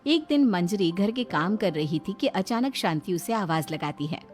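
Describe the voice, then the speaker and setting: A woman narrating an animated moral story in an expressive storytelling style. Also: mid-range voice, normal speed, soft background music.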